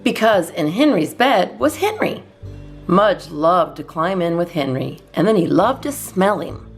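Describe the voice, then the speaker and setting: Woman reading a storybook, very lively intonation. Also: fairly low pitch, a little slow, faint music behind. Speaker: storytime host reading a children's book aloud. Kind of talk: storybook narration for children.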